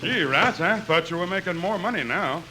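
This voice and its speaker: A man's voice: high-pitched, animated, normal speed, pushed and bright, full character voice. An actor playing a helpful, curious friend, an easygoing straight man in 1950s radio comedy. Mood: friendly, curious. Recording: noisy vintage radio recording.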